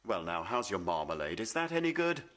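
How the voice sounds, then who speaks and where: A man's voice: on the low side, big pitch swings, a little fast, fairly bright tone. An actor playing a proud, troubled middle-aged painter and father in a radio play, emphatic and dismissive in manner. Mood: scornful, lofty.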